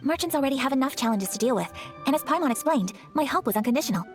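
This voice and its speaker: An actress playing a gracious young noblewoman swordfighter in a video game, formal and precise, gentle but firm. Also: high voice, animated, rapid-fire. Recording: music behind the voice.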